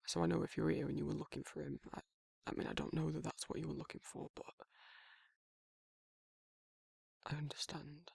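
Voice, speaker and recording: Casting: man to act as tender, guarded male werewolf for ASMR roleplay; slightly high-set but husky voice, hesitant, rambling delivery. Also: flat delivery, darkish tone, no room sound, clean studio sound, full character voice. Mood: earnest, reassuring. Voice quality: husky, breathy, gravelly. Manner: hesitant, halting, rambling, informal. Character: guarded, soft-hearted male werewolf shyly confessing affection. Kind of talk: intimate ASMR roleplay monologue.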